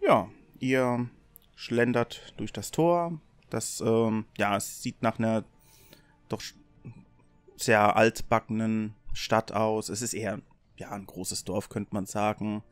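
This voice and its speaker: A man narrating a tabletop game as game master in an informal, halting way. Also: fairly low pitch, clear, resonant tone, dry recording. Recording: online voice call, background music.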